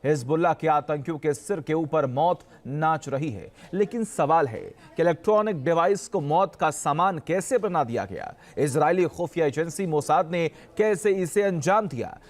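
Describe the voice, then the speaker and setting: A man's voice: on the high side, lively intonation, normal speed, few pauses. A man hosting a news show, reading scripted narration in an emphatic, sensational, dramatic way. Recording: music behind the voice. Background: dramatic background music.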